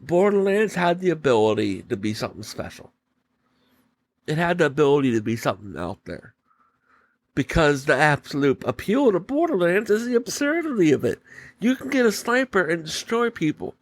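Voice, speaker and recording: A man hosting a podcast solo, giving casual, opinionated commentary in informal language. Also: a little high. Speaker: podcast host.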